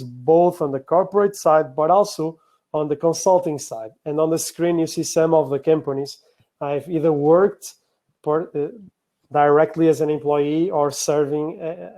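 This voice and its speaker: A man from Portugal with a Portuguese accent giving a business presentation, explaining point by point in a somewhat halting way. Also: a little high, warm tone. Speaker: conference speaker, operational excellence professional.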